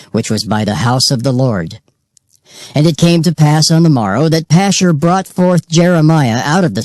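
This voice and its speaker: A man narrating scripture from a script in a formal, measured way. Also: mid-range voice, no echo, studio quality. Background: soft music.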